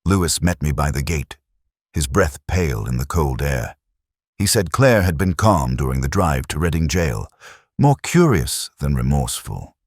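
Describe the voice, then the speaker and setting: A man playing a reflective, melancholy middle-aged detective, narrating his case in measured, solemn literary prose. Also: rumbling low, husky, no room sound, clean studio sound, heavily acted. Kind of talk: literary first-person scripted narration.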